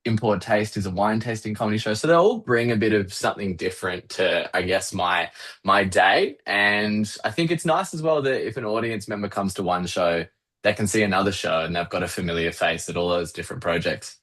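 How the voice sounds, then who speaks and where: An Australian man with a fairly low voice speaks as a comedian guest in a casual interview. He tells stories in a wry, self-deprecating way. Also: brisk, a bit bright.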